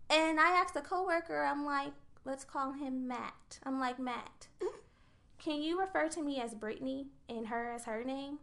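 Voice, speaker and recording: A woman's voice: high voice, a little slow, gravelly, a bit bright. A young woman co-hosting a podcast, making her case earnestly and point by point in casual chat. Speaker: podcast co-host.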